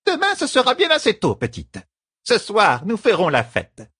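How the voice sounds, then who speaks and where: Man putting on a shrill, bossy old woman. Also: huge pitch swings, moderate pace, sharp tone, slightly rough, no echo, clean studio sound, exaggerated voice. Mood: stern, bossy. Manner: imperious scolding. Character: stern, bossy old woman servant, scolding and stubborn.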